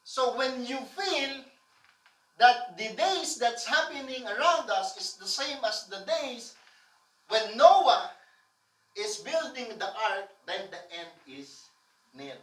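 Loud pastor in echoey hall. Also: male voice, very high voice, lively intonation, takes it slowly. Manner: loud, emphatic, asks the congregation for amens. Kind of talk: sermon. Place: church stage with colored lights. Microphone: far camera. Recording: echoey room from far off.

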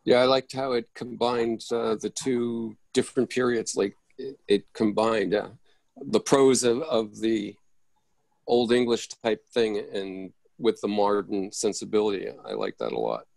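A man's voice: fairly low pitch, unhurried, creaky, a little mellow, dry recording. A man giving casual feedback in a group discussion over a video call.

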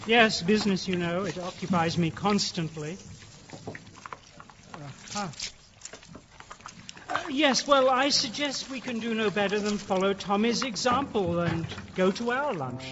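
An actor playing a genial, avuncular country gentleman in a radio drama, hearty and slyly affable. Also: high voice, a little slow, pauses often. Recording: dull, noisy old radio-play sound.

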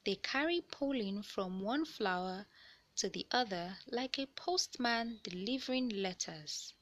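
A woman narrates a children's picture book with a very animated, swooping intonation. Her voice is bright and clear-cut, and she takes her time over each phrase. The delivery is that of an expressive storyteller explaining things to young listeners. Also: mid-range voice, unhurried. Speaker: read-aloud narrator of children's books.

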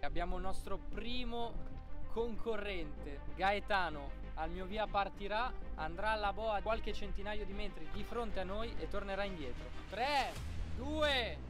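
A young man giving excited commentary on a boat race, counting down the starts and calling the action from a boat at sea. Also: high voice, unhurried, music in the background. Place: outdoors on a boat at sea, then a boat show stand.

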